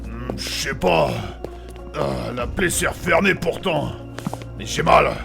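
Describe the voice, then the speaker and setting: Gruff barbarian warrior male actor, very creaky voice, blunt and grouchy, comic fantasy audio drama over music. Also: a little high, exaggerated voice. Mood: grudging. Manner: blunt, grouchy, coarse, slow-witted. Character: gruff, grumbling northern barbarian warrior with a wounded leg.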